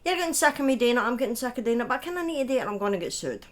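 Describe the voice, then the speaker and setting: A woman's voice: on the high side, very animated, rapid-fire. Chatty woman streaming. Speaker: live streamer and storytelling host. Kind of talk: casual livestream chat to viewers.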